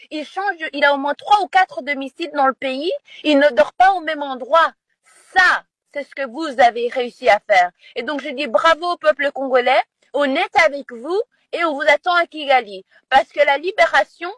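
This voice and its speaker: A woman's voice: high-pitched, fairly bright tone, no room sound. A woman from Rwanda, speaking as an interview guest in an impassioned, insistent way, often repeating words as she searches for them.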